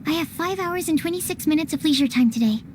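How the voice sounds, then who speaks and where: A woman's voice: high voice, lively intonation, quick pace, a little mellow, slight background noise. A woman playing a shy, earnest young female researcher in a video game, speaking in a hesitant, precise and formal manner. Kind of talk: animated game voice acting.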